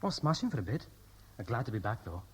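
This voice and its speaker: A dutiful young Welshman soon to marry, in a vintage radio play. He sounds boyish, chatty and a bit hesitant. His husky voice moves up and down freely with a Welsh accent. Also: medium pitch, sing-song, fast talker. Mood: cheerful, easy. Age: young adult. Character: eager, dutiful, unworldly young Welsh groom, aged twenty-two. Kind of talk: 1960s radio drama acting.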